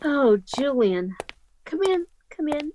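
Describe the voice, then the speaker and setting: A woman's voice: sits high, big pitch swings, slow pace, warm tone, faint music behind, exaggerated voice. A woman playing an earnest, love-struck and defiant sister in her thirties, in an old-time radio melodrama style. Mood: tender, nervous.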